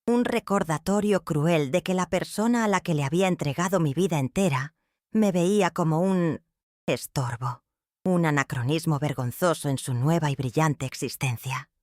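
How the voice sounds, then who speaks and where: A woman reading a first-person dramatic story in a measured, somber way. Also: low voice, a little mellow, no echo, studio quality.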